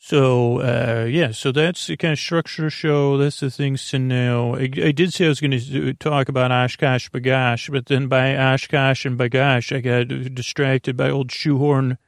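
A man with a gravelly, creaky voice at a middling pitch talks in a dry, close recording. He rambles reassuringly to the listener as a bedtime podcast host, halting, trailing off and circling back. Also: fairly even intonation, no room sound. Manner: reassuring, low-pressure, addresses the listener directly. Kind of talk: rambling bedtime monologue to help listeners fall asleep.

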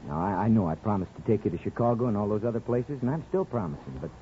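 A man's voice: lowish voice, animated, fast talker, slight background noise, close to an everyday voice. An actor playing an earnest, folksy small-town barber and newlywed husband who also narrates his story in a 1950s radio drama. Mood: soothing. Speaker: actor and first-person narrator. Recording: dull 1950s radio recording with music.

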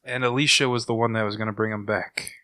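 Flat, deadpan man co-hosting. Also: on the low side, fast talker, dry recording. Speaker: podcast co-host. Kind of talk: casual chat.

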